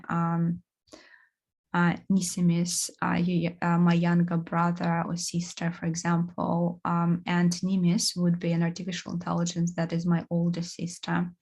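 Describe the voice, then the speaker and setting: Woman presenter, low flat delivery, halting with fillers. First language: Russian. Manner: halting, many fillers and restarts. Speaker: course presenter. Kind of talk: academic seminar talk.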